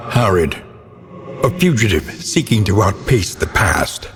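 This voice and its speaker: Slow, grave gothic horror narrator. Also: male voice, lowish voice, sing-song, soft background music. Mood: grim, ominous. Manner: solemn, ominous, florid, measured delivery. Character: grave, world-weary older male narrator of a gothic horror game. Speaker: game narrator. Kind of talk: theatrical scripted dark fantasy narration. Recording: long cavernous reverb.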